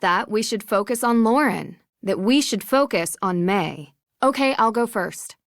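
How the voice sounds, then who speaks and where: A woman playing a reasonable young female detective in a video game, asking questions in a clear, measured, earnest way. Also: on the high side, animated, no echo, studio quality. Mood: focused, reasoning.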